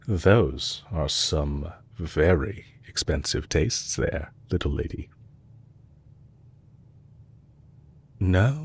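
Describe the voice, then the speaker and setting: Villain leader for intimate audio roleplay, male actor: low, husky register, courteous and measured, calculating under a gently teasing manner. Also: exaggerated voice. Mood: amused, indulgent. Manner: polite, measured, softly teasing. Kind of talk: intimate scripted audio roleplay addressed to the listener.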